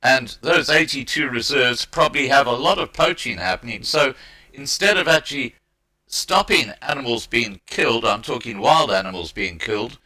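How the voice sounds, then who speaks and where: A man hosting a live interview, speaking conversationally and repeating words haltingly as he builds a point. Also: medium pitch, sharp tone, low-quality recording. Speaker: live stream host, interviewer.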